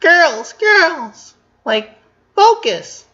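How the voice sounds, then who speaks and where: A man's voice: very high-pitched, big pitch swings, very slow, sharp tone, muffled recording, pitched above the performer's own voice, full character voice. A man voicing a bubbly, scatterbrained, sweet teenage sister who talks in hesitant valley-girl phrasing in a story reading. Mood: exasperated, excited.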